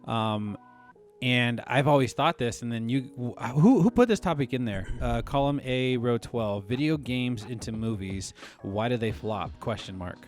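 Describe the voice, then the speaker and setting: A man's voice: mid-range voice, moderate pace. A man in his late thirties with an American accent, hosting a podcast in casual, slangy chat. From California, United States. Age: late 30s. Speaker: podcast host.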